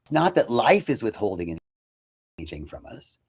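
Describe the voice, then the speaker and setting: A man's voice: fairly low pitch, big pitch swings, normal speed, vocal fry, breathy edge. A man giving a casual, conversational inspirational talk as a spiritual teacher on a computer webcam livestream. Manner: casual and conversational, with lots of fillers.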